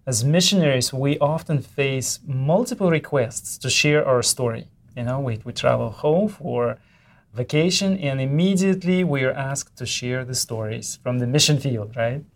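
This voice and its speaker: Higher-pitched man hosting a podcast. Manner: welcoming, addresses listeners directly. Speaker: podcast host and interviewer. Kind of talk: podcast introduction and interviewing.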